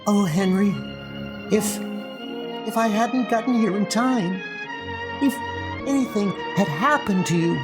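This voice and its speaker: A man's voice: high voice, unhurried, long pauses, music in the background, pitched above the performer's own voice. A man voicing a spirited, emotional young superheroine with a sassy, affectionate temper in a comic dub. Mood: distraught.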